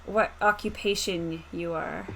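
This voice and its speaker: A woman's voice: fairly low pitch, steady tone, unhurried, creaky, slight background noise, low-quality recording. A woman playing a tabletop roleplaying game, chatting casually and speaking as her character.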